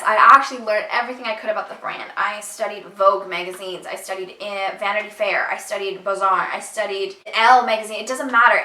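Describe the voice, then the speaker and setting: A young woman vlogging straight to camera, animated and emphatic, with a bright, forceful voice that sits a little high. She is a touch off the mic, and the room rings with echo. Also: recorded from a distance. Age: young adult. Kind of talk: casual storytelling to camera.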